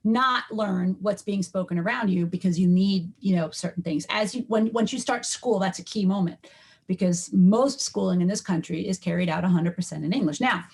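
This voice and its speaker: A woman's voice: mid-range voice, moderate pace, vocal fry, a little mellow, husky. A woman linguistics professor answering interview questions in a firm, lecturing way.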